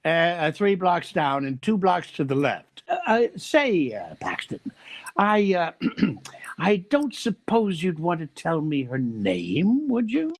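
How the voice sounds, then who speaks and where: A man's voice: fairly high pitch, huge pitch swings, slow pace, exaggerated voice. Nosy gleeful businessman for radio comedy, drawn out delivery, super swoopy. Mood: sheepish, prying. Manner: prying, exclamatory. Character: nosy, gleeful businessman boss, a gossipy busybody. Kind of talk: old-time radio comedy acting.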